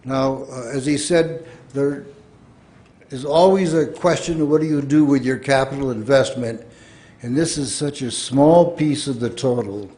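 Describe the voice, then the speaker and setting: A man's voice: mid-range voice, unhurried, warm tone. A man giving an enthusiastic, persuasive public comment to a city council.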